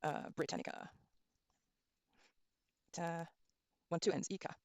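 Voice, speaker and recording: A middle-aged woman whose first language is Norwegian runs a relaxed live lesson with a fairly low voice and a dry, close sound. She chats easily, spells words out one letter at a time and scatters ums through her talk. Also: a little slow, vocal fry, no echo. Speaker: language teacher streaming a class. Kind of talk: casual online lesson, thinking aloud while browsing.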